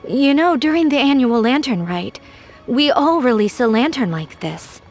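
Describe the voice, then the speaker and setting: An actress voicing a gentle, polite young lantern artisan in a video game. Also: female voice, sits high, expressive, moderate pace, faint music behind.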